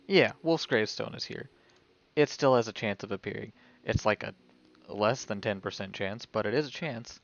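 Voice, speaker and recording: A man's voice: fairly low pitch, normal speed, vocal fry, muffled recording. A man streaming a video game, giving casual live commentary and thinking aloud as if talking to himself. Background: video game music.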